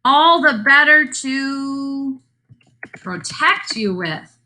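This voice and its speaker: A woman voicing a storybook bear that is politely menacing, in a deliberate read-aloud delivery. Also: sits high, animated, sharp tone, voice pushed up, full character voice, poor audio. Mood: sly menace.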